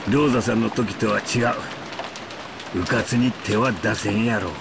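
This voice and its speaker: A man's voice: fairly low pitch, quick pace, gravelly, background noise. An actor playing a jovial middle-aged man with a Kansai accent, talking in a hearty, joking way. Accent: Kansai Japanese.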